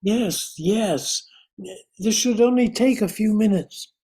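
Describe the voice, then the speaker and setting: A man's voice: high-pitched, slow pace, dark tone. A man acting a kindly scientist in his mid-sixties, mild, polite and hesitant, in an amateur radio drama. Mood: polite, reassuring.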